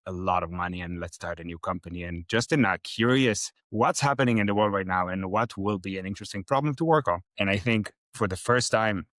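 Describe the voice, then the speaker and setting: Low-voiced man, reflective. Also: a little fast, hardly any pauses, a bit bright, no room sound, studio quality. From Israel. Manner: reflective, hesitant with fillers. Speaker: startup founder, guest. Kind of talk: podcast interview.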